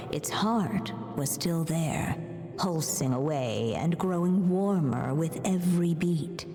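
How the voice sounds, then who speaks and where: A woman voicing a gentle storybook narrator in a game, slow and hushed, at times nearly whispering. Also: fairly low pitch. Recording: long hall reverb. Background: soft game music.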